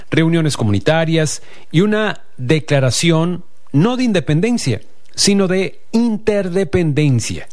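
A man hosting a call-in radio program, reading the intro and then interviewing a guest in a measured announcer's manner. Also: on the high side, darkish tone. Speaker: radio show host.

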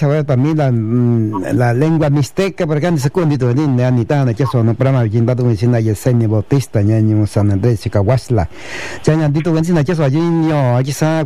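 Warm-toned male radio host. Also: mid-range voice. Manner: long lists of greetings to towns. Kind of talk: bilingual community radio greetings. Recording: radio broadcast.

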